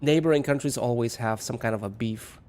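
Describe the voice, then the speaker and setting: A man's voice: medium pitch, a little fast, darkish tone. A man from Lithuania with a Lithuanian accent, a game streamer giving casual, rambling and somewhat hesitant commentary and chat. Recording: music behind the voice. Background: music.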